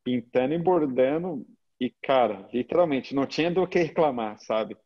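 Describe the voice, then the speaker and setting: Man in his thirties, São Paulo accent, mid pitch, slangy casual storytelling, dull video-call sound. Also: lively intonation, normal speed. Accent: São Paulo Brazilian Portuguese. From São Paulo, Brazil. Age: 30s. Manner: informal, slangy, frequent tag questions. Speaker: interview guest, agency founder. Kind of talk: casual anecdotal chat. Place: indoor room at home, video call.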